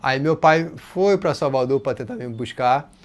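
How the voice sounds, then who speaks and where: A man with a Rio de Janeiro accent tells his own story in a relaxed, conversational way. His voice sits at a mid pitch for a man. He slips into other people's voices when he quotes them. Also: normal speed. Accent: Rio de Janeiro Portuguese. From Rio de Janeiro, Brazil. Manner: casual and expressive, voices others' words in quotes. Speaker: channel host. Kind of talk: personal storytelling to camera.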